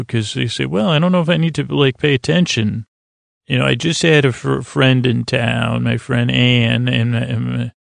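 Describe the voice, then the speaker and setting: A man speaks in a gravelly, creaking voice that stays very flat in pitch. He hosts a sleep podcast, rambling soothingly and losing his thread mid-sentence. The sound is close and dry. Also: no echo. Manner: lulling, soothing delivery; meandering, halting, trailing off mid-thought. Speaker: podcast host.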